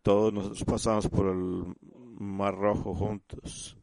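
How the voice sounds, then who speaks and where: A man giving a sermon in halting speech, often restarting and repeating words as he teaches the congregation. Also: lowish voice, flat delivery, creaky, darkish tone, no echo. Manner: addresses listeners as "usted". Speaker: church speaker.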